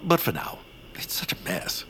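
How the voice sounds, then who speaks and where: An actor playing a pompous, scheming villain baron in a family audio drama, theatrical, grandiose and fussy. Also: male voice, moderate pace, fairly bright tone, slight background noise, natural voice. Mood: fussy indignation. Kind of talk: audio fiction cartoon-like villain acting.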